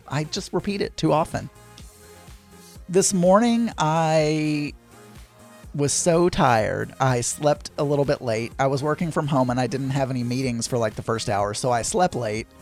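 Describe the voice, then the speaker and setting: Man, hoarse, crackly, slightly high voice, rambling casual podcast chat, faint background music. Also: darkish tone. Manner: chatty, digressive, self-deprecating. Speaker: podcast host.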